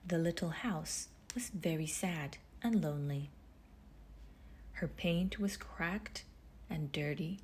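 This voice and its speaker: A woman reading a children's storybook aloud in measured phrases with deliberate pauses, her husky voice turning breathy as phrases end. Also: low-pitched, a little mellow, a little hiss or hum. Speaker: storybook reader. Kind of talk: children's read-aloud narration.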